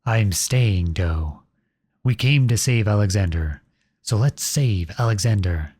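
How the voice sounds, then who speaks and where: Man acting a low, husky outlaw prince. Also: expressive, unhurried, darkish tone, no echo, clean studio sound. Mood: urgent, resolute. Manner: earnest, dramatic, addresses unseen listeners directly. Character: outlawed young prince, protective, noble, devoted to his beloved. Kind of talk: ASMR audio roleplay acting. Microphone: close studio-style microphone, dry.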